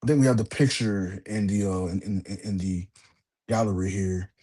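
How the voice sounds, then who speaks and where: A man's voice: sits low, steady tone, normal speed, dark tone. A man hosting a wrestling podcast in casual chat, rambling with lots of fillers and restarts. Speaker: podcast host.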